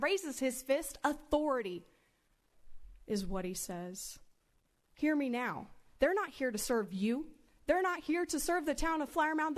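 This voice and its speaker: A woman giving a mayoral campaign speech in a dramatic, oratorical manner with clipped, emphatic phrases. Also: a little high. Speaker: mayoral candidate.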